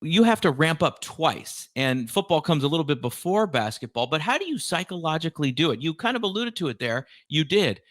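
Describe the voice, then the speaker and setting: A man's voice: a little high, big pitch swings, brisk, few pauses, no room sound, studio quality. A man hosting a podcast, speaking as presenter and interviewer. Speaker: podcast host. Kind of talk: scripted podcast introduction, then conversational interviewing.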